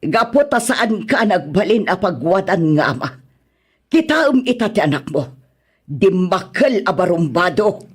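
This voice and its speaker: A woman's voice: on the low side, very animated, measured pace, husky, exaggerated voice. A weary mother in an Ilocano radio drama, acted by a woman. She nags and reproaches in an exasperated, scolding way, with an Ilocano accent. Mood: reproachful. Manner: nagging, reproachful.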